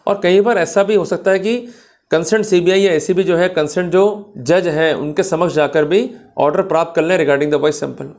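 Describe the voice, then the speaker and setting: A man's voice: on the high side, darkish tone. A man giving an explanatory law lecture, addressing listeners directly in a didactic way and mixing in English legal terms. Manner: didactic, checks understanding with questions, mixes in English legal terms.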